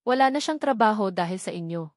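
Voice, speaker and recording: Babaeng gumaganap bilang nasasaktang binatang anak. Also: female voice, mid-range voice, expressive, fast, a little mellow, no echo, clean studio sound, natural voice. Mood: indignant. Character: dejected young son torn between mother and lover.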